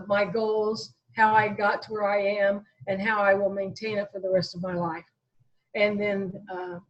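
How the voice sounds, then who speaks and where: A woman's voice: medium pitch, highs cut off. An American woman in her sixties talking conversationally as an interview guest, telling her own story. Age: 60s.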